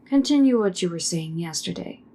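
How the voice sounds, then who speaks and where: A woman playing a composed, dutiful military officer who speaks in a calm, measured way in a sci-fi drama. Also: on the low side, slight background noise. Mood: calm, patient. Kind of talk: dramatic sci-fi audio acting.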